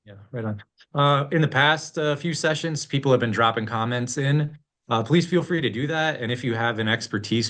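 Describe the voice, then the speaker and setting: A man's voice: mid-range voice, a bit bright. A man with an Upper Midwest American accent co-hosting a school facilities webinar, talking in an upbeat, easygoing way. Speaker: co-host, school district facilities staff. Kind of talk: casual webinar talk.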